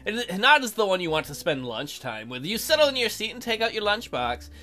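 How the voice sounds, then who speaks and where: A man's voice: sits high, very animated, brisk, pushed and bright, faint music behind. A man hosting a let's play, reading game text aloud and adding casual, sarcastic, joking commentary.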